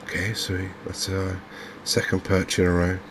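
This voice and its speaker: A man with an English accent giving gentle, unhurried, softly spoken ASMR commentary on a video game. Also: low voice, monotone, background noise. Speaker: gaming video host. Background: fishing game ambience.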